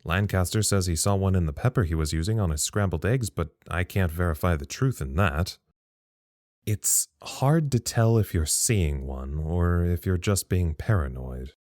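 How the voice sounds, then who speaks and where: A man playing a thoughtful, uneasy log-keeper at a secret research site in an audio drama, measured and confiding. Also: deep voice, darkish tone, dry recording, clean studio sound, close to an everyday voice. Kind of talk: audio drama monologue as a recorded incident log.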